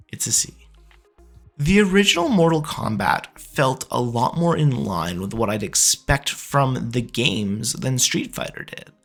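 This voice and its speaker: Man in his thirties, very varied intonation, gravelly edge, opinionated conversational commentary, music underneath. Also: medium pitch. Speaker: video essay host, film reviewer. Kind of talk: scripted opinion commentary for a ranking video.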